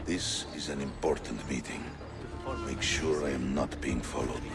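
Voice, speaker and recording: An actor playing an arrogant, suspicious Janissary captain in a video game, commanding and sly. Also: male voice, extremely low pitch, steady tone, unhurried, gravelly, loud music behind the voice, exaggerated voice. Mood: imperious. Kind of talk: dramatic video game acting.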